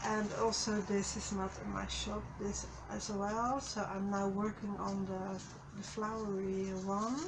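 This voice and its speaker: Slow, halting woman at a craft desk. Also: medium pitch, noisy background. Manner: slow, halting, many fillers. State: a little hoarse. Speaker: craft channel host. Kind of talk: casual craft tutorial. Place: craft desk, overhead camera.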